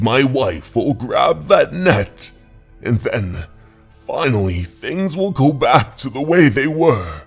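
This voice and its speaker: Man acting gravelly, obsessed aging husband, desperate. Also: on the low side, heavily acted. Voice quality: gravelly, husky. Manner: dramatic, halting monologue. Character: desperate aging husband, obsessed with rescuing his lost wife. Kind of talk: horror manga dub narration. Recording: voice over background music.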